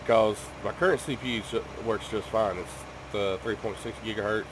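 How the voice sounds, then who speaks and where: A man's voice: lowish voice, steady tone, normal speed, noisy background. A man who is sick with a cough, chatting casually to his viewers at home in a rambling way, full of ums and restarts. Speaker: gaming YouTuber.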